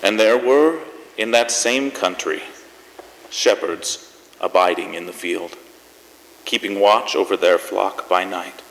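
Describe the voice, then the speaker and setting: A man's voice: low voice, slow, creaky, fairly bright tone, full character voice. Man acting as genial show host in an echoey hall. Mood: solemn. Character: genial, sentimental male host of a Christmas variety show. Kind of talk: stage hosting and scripted reading. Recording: echoey hall with faint noise.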